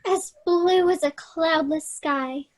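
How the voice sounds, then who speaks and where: Romantic young heroine played by a woman, high light voice, eager and dreamy, children's stage play. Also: slow pace. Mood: adoring. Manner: earnest, wistful. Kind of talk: staged reading of children's theater.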